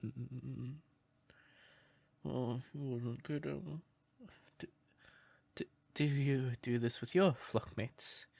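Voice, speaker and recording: A man playing a shy, sweet, curious crow turned human in a roleplay audio, speaking gently and breathily with hesitant stammers. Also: medium pitch, fairly even intonation, takes it slowly, vocal fry, long pauses. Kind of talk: soft roleplay audio, intimate character acting.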